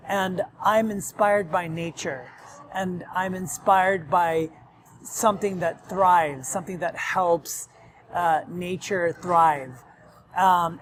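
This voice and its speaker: A man's voice: high voice, a little hiss or hum. A man who grew up in Nigeria in a Lebanese family, a restaurant owner giving earnest, rambling interview answers with many ums, recorded in a restaurant. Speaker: restaurant owner, podcast guest.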